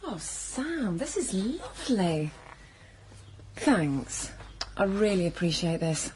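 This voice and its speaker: A woman's voice: medium pitch, very animated, takes it slowly, darkish tone, a little hiss or hum. A woman playing an anxious, stubborn young woman in a naturalistic radio drama. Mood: guarded, friendly. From northern England.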